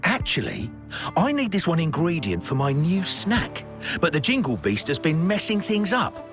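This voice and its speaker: An actor playing a chatty, fretful small-shop food vendor in a video game, with an animated, expressive delivery. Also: male voice, fairly high pitch, moderate pace. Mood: exasperated. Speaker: shopkeeper character. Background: music behind the voice.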